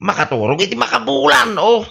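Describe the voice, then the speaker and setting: An actor with an Ilocano accent playing a boastful, wheedling drunk in a radio comedy, slurring and rambling. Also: male voice, sits high, very animated, brisk, vocal fry, bright, forceful tone, heavily acted. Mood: boastful, belligerent. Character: boastful, wheedling drunken village man buying on credit.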